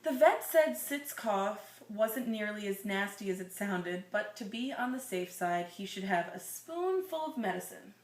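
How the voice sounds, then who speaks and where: A woman's voice: mid-range voice, animated, measured pace, few pauses. A woman reading a children's story aloud expressively, drawing out phrases and adding conversational asides and questions for her listener. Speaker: reader of a children's picture book to a listener. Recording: roomy, echoing sound.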